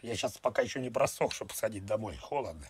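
A man voicing a raspy, folksy old grandpa who rambles and repeats himself in a comic prank call. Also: fairly low pitch, expressive, quick pace, lightly acted. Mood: muddled, sheepish. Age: older (played). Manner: rambling, repetitive, folksy filler-heavy talk. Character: rambling rustic grandpa, boastful, sentimental, absurdly chatty. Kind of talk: prank phone call improvisation.

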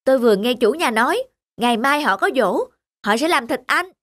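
High-voiced, persuasive cartoon rabbit. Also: female voice, animated, fairly quick, music in the background. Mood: urgent. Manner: urgent, persuasive. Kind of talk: animated folk-tale acting.

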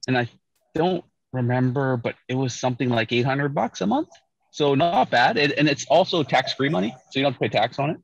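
A middle-aged man from Saskatchewan, Canada, talks through a video call from a parked car. He tells his story in a relaxed way, restarting sentences often and leaning on fillers. The audio is dull, muffled and close, with no room sound. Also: mid-range voice, a little fast, vocal fry, slightly rough, no room sound. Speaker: Olympic bobsledder guest. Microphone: video call, laptop or phone camera.

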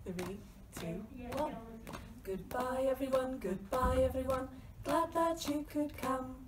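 A woman with a high voice signs off a children's storytime cheerfully and sings a goodbye song. She sounds distant from the mic. Clear room noise and clicks are heard behind her. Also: takes it slowly, reverberant. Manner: cheerful sign-off, then singing. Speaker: library storytime leader.

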